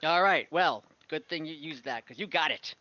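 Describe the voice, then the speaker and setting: A man acting as game master, refereeing an online tabletop game in casual chat and often thinking out loud. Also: a little high, normal speed, dry recording, lo-fi sound. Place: close, dry online call.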